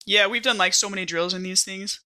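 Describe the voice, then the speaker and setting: A woman casually chatting and reacting to a tabletop game in an animated, exclamatory way. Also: medium pitch, a little fast.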